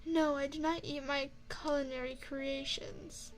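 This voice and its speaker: A woman's voice: sits high, little pitch movement, measured pace. A woman voicing an elegant, seductive vampire chef in an ASMR roleplay, speaking formally with refined, teasing poise, close to the microphone. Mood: smug, seductive. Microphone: close binaural microphone.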